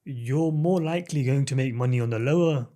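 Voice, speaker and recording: A man's voice: medium pitch, brisk, dark tone. Man explaining patiently in a podcast studio. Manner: explains patiently, with many tag questions and fillers. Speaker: recruitment manager, guest. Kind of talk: casual interview chat.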